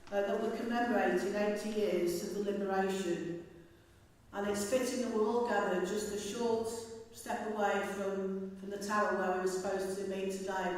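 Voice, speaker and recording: A woman giving a formal public address at a memorial service, as a civic dignitary. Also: medium pitch. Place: inside a large church. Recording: very echoey church hall, distant mic.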